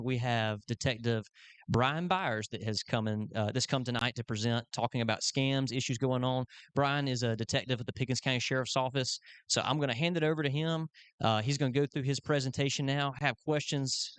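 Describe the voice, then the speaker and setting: A man hosting a community meeting, friendly and halting, with many fillers and restarts. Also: mid-range voice, fairly bright tone, clear, resonant tone, no room sound. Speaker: club chairman and event host. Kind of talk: opening a community meeting with prayer.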